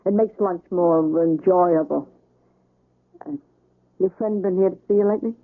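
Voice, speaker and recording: Chatty nurse, tinny radio sound. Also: female voice, on the low side, moderate pace. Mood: curious, gossipy. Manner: casual, gossipy, easygoing. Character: chatty, curious, frank female hospital nurse. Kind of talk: 1970s radio drama acting. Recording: tinny old radio broadcast recording.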